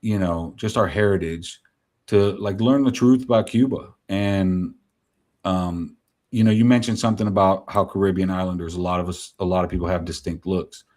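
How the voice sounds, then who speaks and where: Casting: deep, warm-toned man in his forties or fifties, Spanish as his first language, chatty and rambling guest. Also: fairly even intonation, normal speed. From New Jersey, US. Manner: rambling, filler-heavy, candid. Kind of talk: casual interview chat.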